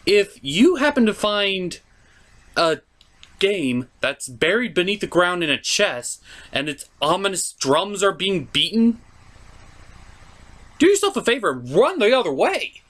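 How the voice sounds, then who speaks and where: A man's voice: high-pitched, big pitch swings, a little slow, fairly bright tone. A man recapping a film as a comedic review host, with incredulous, exaggerated asides. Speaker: host of a movie review puppet show.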